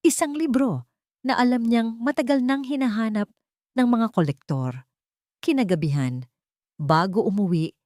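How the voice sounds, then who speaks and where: A woman's voice: mid-range voice, mellow, no room sound, studio quality. A woman narrating a scripted romance story in a dramatic storytelling style.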